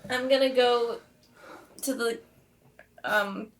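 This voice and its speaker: A woman's voice: a little high, fairly even intonation, takes it slowly, a little hiss or hum, poor audio. A woman speaking casually as a player in a tabletop game, improvising her character's words and actions. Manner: informal, conversational.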